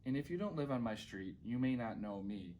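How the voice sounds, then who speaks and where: A man's voice: mid-range voice, animated, a little fast, warm tone, slight background noise. A man reading a children's storybook aloud as a guest reader.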